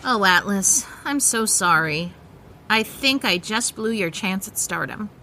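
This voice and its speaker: A woman's voice: medium pitch, expressive, unhurried, background noise. A woman playing a brash, sarcastic young punk rocker in an audio drama, blunt and punchy. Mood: softer, wry.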